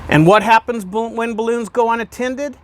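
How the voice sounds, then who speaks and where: A man reading a children's picture book aloud in an expressive storytelling way, with emphatic pauses and questions put to the listener. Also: very high-pitched, slight background noise. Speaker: guest reader, fire department deputy chief.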